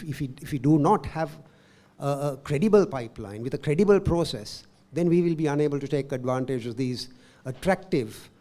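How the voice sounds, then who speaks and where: A man's voice: on the high side, warm tone. A man giving a policy presentation at a workshop in an urgent, emphatic way. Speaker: workshop speaker.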